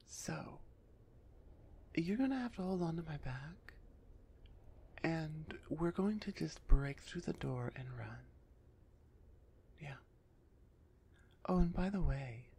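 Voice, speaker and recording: A woman voicing a big, gruff female minotaur executioner with grudging, teasing menace in an ASMR roleplay. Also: deep voice, dark tone, exaggerated voice. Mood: gruff, commanding.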